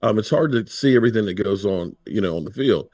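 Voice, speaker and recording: A man's voice: lowish voice, lively intonation, fast, mellow, no room sound, clean studio sound. A man hosting a casual livestream chat, talking in a laid-back, conversational way. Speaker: livestream host.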